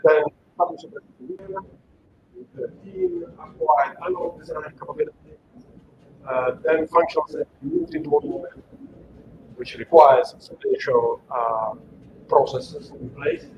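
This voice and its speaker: A man's voice: fairly high pitch, wildly swooping pitch, normal speed, gravelly, muffled recording, background noise. A middle-aged man from Italy with an Italian accent, giving an unscripted technical presentation with many fillers and restarts. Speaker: engineering manager giving a technical talk.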